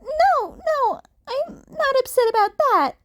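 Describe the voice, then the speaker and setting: Lady doing a cheeky kitten boy for an audiobook, super high voice, slow and animated, acts all innocent. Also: heavily acted. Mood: upset, evasive. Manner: innocent, polite, defiant. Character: cheeky polite young cat boy vigilante. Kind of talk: fan fiction audiobook character voice.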